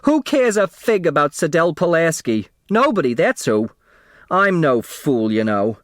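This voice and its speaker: A man voicing a shrill, self-pitying woman secretary in an audiobook, given to indignant, theatrical outbursts. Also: sing-song, measured pace, dry recording, studio quality. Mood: wounded, defiant.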